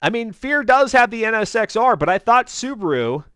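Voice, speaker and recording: A man's voice: very high-pitched, sing-song, normal speed, fairly bright tone, clean tone, dry recording, clean studio sound. A man commentating live on a racing stream as its host, in folksy, chatty announcer patter with jokey asides. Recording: racing car noise behind the voice. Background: car engines and race ambience.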